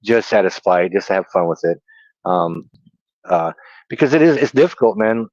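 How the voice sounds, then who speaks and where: Low-voiced man with a slight lisp. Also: expressive, moderate pace, a little mellow, no room sound. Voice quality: slight lisp, stutters sometimes. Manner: rambling, many fillers and restarts. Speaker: co-host. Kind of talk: casual livestream chat. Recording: dry, dull lo-fi audio.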